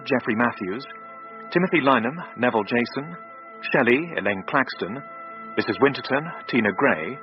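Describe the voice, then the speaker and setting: Lively-pitched male radio announcer. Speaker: continuity announcer reading cast credits. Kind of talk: scripted radio credits announcement. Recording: muffled radio recording over music.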